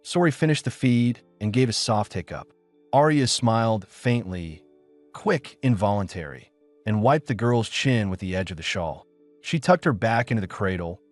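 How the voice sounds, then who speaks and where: Low-pitched man narrating, lively intonation. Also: normal speed, soft background music. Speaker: audiobook narrator. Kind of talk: scripted dramatic narration of a sci-fi story.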